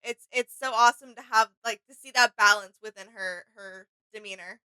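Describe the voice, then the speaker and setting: A woman co-hosting a casual reaction video, talking in an admiring, halting, conversational way. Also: mid-range voice, bright, forceful tone, clear, resonant tone, dry recording, low-quality recording.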